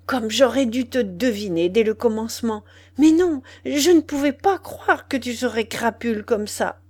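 Woman acting out a betrayed mistress, audiobook drama, high voice, furious, indignant, exclamatory, scornful. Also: moderate pace, a little mellow, close to an everyday voice. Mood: bitter, reproachful.

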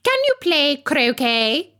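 Shrill, shrieking tyrant queen. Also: very animated, slow, exaggerated voice. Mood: shouting, commanding. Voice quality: shrill, loud, shouting. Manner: imperious barked commands. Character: shrill, tyrannical, bad-tempered storybook queen.

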